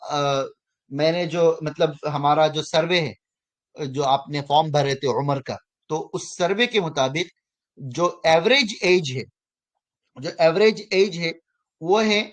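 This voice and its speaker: A man in his thirties teaches a finance class to students online. He talks to them directly, checks again and again that they follow, and fixes his own slips as he goes. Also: fairly high pitch. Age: 30s. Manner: repeats and checks in with students, self-correcting. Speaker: finance course instructor.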